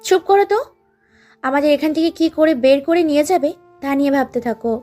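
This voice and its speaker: A woman's voice: high voice, brisk, warm tone, soft background music, close to an everyday voice. A woman playing a bossy, touchy young captive in an audio story. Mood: annoyed, scolding. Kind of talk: Bengali audio story acting.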